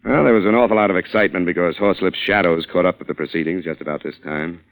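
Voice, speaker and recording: A shrewd, defiant newspaperman in a vintage radio thriller. His voice sits fairly low, and he talks in a pointed, taunting, hard-boiled way. It has the sound of an old radio broadcast. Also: fast talker, rough recording. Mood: grim recounting. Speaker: lead actor and narrator. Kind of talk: old-time radio suspense acting.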